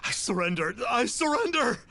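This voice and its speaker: A man's voice: extremely high pitch, sing-song, moderate pace, pushed and bright, exaggerated voice. A man playing a pompous, cowardly fraud in a video game, speaking in archaic, theatrical phrases and calling himself "one". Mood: terrified pleading. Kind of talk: animated video game voice acting. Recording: game music clearly behind.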